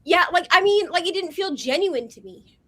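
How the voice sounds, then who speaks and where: High-voiced woman, animated storyteller. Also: normal speed. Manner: animated storyteller, heavy on "like" fillers. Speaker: co-host. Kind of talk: casual chat on a video call. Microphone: video call.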